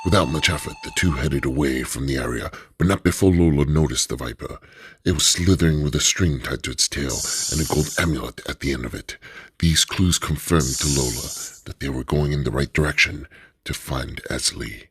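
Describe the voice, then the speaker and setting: Very deep-voiced male narrator. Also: a bit bright, slightly rough, no echo. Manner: measured, storytelling. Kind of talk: scripted story narration.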